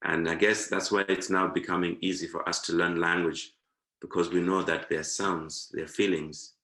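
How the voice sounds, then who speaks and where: A man's voice: deep voice, little pitch movement, moderate pace, pushed and bright, echoey room. A man from Zimbabwe, a guest talking in a reflective conversation, thoughtful and repeating words to savor them. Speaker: guest, performing artist.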